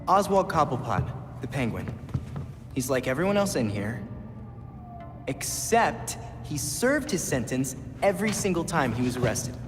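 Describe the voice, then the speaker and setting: A man playing a bright, eager teenage boy vigilante in a video game, keen and slightly defensive. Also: medium pitch, very animated, unhurried. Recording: long reverb under music.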